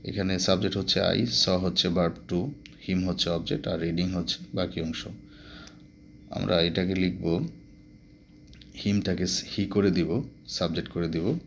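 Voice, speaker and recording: Bangladeshi guy giving a grammar lesson, low gravelly voice, some noise in the recording. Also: level tone, measured pace, pauses often, a bit bright. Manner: explanatory, mixes English terms into speech. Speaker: English grammar teacher. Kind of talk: instructional grammar lesson, step-by-step explanation.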